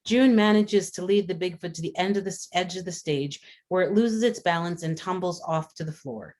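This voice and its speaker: Low-pitched woman reading screenplay stage directions. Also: fairly quick, darkish tone. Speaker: stage directions reader at a script table read. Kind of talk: scripted narration of screenplay action.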